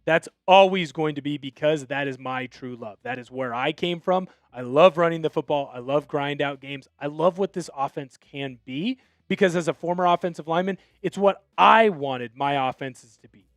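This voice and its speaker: High-voiced fired-up football host. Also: male voice, moderate pace, hardly any pauses, dry recording. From Washington State, USA. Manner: opinionated, fired-up fan talk, reads viewer texts aloud. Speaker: sports show host. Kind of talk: live sports talk stream.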